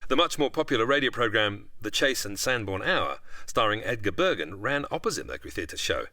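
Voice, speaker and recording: A man's voice: lowish voice, big pitch swings, brisk, bright, forceful tone, no room sound, studio quality. A man with a British accent, a podcast guest giving scripted explanations in a narrating style.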